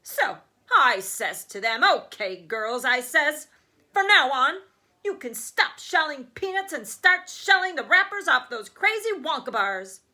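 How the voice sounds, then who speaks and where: A woman voicing a boastful, indulgent rich father in a children's storybook, talking in loud, emphatic, folksy brags. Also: high voice, big pitch swings, measured pace, exaggerated voice.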